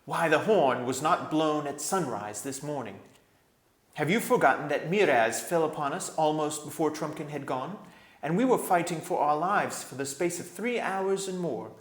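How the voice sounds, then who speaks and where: A man's voice: on the high side, lively intonation, normal speed, roomy sound, voice pushed up, close to an everyday voice. A man voicing a beleaguered young king in a storybook, emphatic and exasperated. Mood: indignant.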